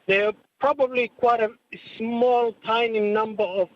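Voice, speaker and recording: A man calling in to a radio show, speaking in halting, broken-up phrases. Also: very high voice, fairly even intonation. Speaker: caller. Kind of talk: radio talkback call. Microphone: phone. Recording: muffled phone line.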